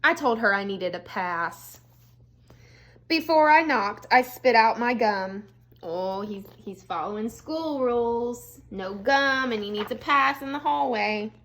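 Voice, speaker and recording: A woman, an elementary school teacher, reading a storybook aloud to young children with expressive delivery and casual, chatty asides. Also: a little high, measured pace.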